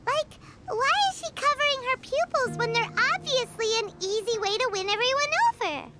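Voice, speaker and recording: Squeaky, chirpy little fairy girl. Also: normal speed, very noisy. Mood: puzzled, wary. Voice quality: squeaky, childlike. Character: small cheery childlike fairy companion girl, talkative and wary. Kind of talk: animated video game voice acting. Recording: prominent game music behind the voice. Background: music.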